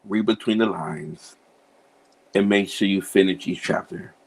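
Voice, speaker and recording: A man's voice: low-pitched, a little slow, vocal fry, darkish tone, slightly rough, rough recording. A man from Cincinnati, an author being interviewed, talking in an easygoing, joking way. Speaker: author guest. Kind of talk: casual podcast interview.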